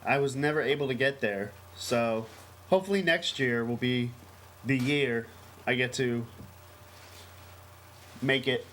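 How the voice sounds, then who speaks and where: A man's voice: mid-range voice, unhurried, pauses often, fairly bright tone. An American man co-hosting a casual podcast, talking in a rambling, emphatic, enthusiastic way. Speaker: podcast co-host. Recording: noisy amateur podcast recording.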